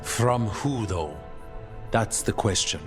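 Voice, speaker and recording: An actor playing a cautious, pragmatic operative in a video game, with a terse, matter-of-fact delivery. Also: male voice, on the low side, expressive, takes it slowly, soft background music. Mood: guarded, assured. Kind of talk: dramatic video game acting.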